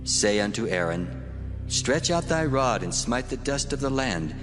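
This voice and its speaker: An actor voicing God, giving grave commands in a dramatized scripture reading, with a long reverb on the voice. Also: male voice, medium pitch, very animated, measured pace. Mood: commanding. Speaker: the Lord in a dramatized Bible reading. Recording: reverberant hall effect. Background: music.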